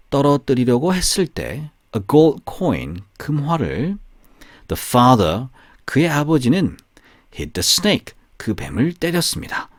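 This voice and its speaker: A male audiobook narrator with a husky voice tells a fairy tale for language learners. His intonation is highly animated, yet he goes deliberately phrase by phrase. The sound is dry and close. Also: no room sound. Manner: deliberate, phrase-by-phrase, storytelling delivery.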